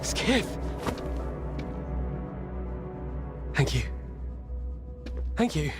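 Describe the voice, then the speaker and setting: A frightened, scheming game professor in a high, agitated voice. He pleads with drawn-out, slow phrases, with loud music behind him. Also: sing-song. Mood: relieved. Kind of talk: video game cutscene acting.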